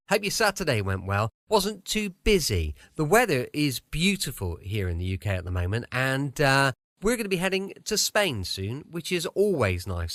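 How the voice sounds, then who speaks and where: A man's voice: on the high side, a bit bright, no room sound, studio quality. A British man hosting a radio show podcast, introducing it in a cosy, chatty style. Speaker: podcast host.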